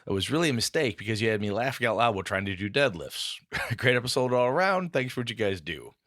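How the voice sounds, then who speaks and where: A man's voice: mid-range voice, sing-song, fast, a bit bright, no echo, studio quality. A man co-hosting a casual gaming podcast, joking and reading listener mail aloud. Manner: jokey, reads letters aloud with quoted voices. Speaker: podcast co-host.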